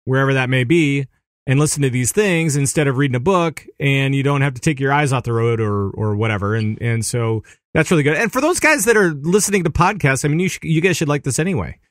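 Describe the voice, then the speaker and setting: A man's voice: medium pitch, animated, fast, no echo, studio quality. A man hosting a casual podcast in an easygoing way, with frequent fillers and laughs between thoughts.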